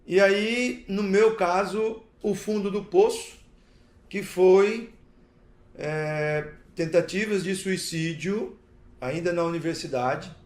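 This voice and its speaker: Guy telling his own story, pretty high voice, pauses a lot, roomy echo. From interior of Paraná, southern Brazil. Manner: deliberate, pausing between short phrases, seeks agreement with tags. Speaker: recovery talk speaker. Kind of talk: personal testimony to an audience. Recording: echoey, roomy sound.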